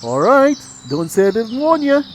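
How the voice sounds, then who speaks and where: A man reading a bedtime story and voicing the little piglets, small young pigs, in a high squeaky voice. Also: sing-song, moderate pace, a little hiss or hum. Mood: anxious.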